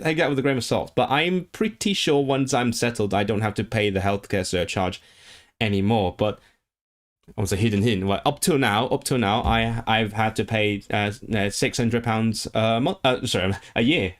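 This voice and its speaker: Male streamer, lowish voice, casual rambling chat to stream audience at a home desk mic. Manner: rambling, frequent restarts and fillers. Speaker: language streamer.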